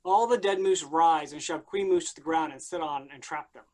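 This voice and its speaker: A woman's voice: deep voice, normal speed, a little mellow, close to an everyday voice. A woman giving scripted narration, reading out stage directions for a play.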